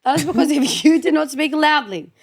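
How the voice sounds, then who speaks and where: A young woman, a podcast guest, talking loudly and dominantly in a casual chat. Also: sits high, animated, brisk. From Australia. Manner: loud, dominant, talks over others. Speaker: guest, host's younger sister.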